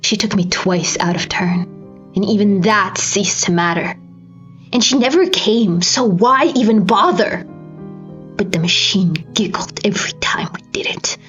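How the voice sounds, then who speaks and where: A woman giving a dramatic scripted narration, grave and theatrical, with deliberate phrasing. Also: mid-range voice, lively intonation, fairly bright tone. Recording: slightly dull recording with music behind. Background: music.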